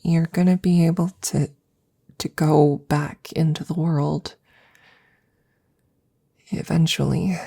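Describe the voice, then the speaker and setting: A woman playing an anxious, devoted scientist in an ASMR audio roleplay, speaking in a breathy, gravelly, intimate near-whisper with halting stammers. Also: deep voice, fairly even intonation, mellow, exaggerated voice. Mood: earnest, reassuring. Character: anxious, devoted female scientist tending her best friend.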